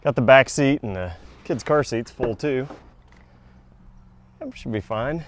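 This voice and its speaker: A man's voice: medium pitch, a little slow. A man in his forties filming a casual vlog, chatty and upbeat, with plenty of fillers. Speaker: vlogger, truck parts seller. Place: garage, driveway and large exhibition hall.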